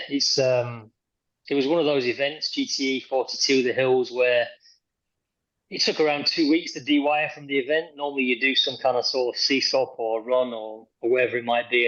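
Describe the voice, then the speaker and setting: A man's voice: mid-range voice, fairly bright tone, muffled recording. A man from Yorkshire, a guest on a podcast telling a story over a video call, hesitant with lots of ums and the odd chuckle. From Yorkshire, England. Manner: rambling, many ums, chuckles through story. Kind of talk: casual podcast interview.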